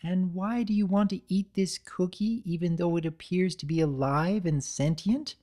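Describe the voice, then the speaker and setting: A woman's voice: fairly low pitch, moderate pace, mellow, voice pushed up, natural voice. A woman voicing a thoughtful, level-headed young female cartoon pony in a story reading, with precise, reasoning delivery. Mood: puzzled, skeptical.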